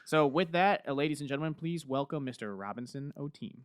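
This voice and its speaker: A man's voice: fairly high pitch, lively intonation, fairly quick, a crowd in the background. A man hosting a podcast, upbeat and joking, who introduces guests fluently with a few fillers, recorded in a university podcast studio. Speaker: podcast host. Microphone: podcast mic.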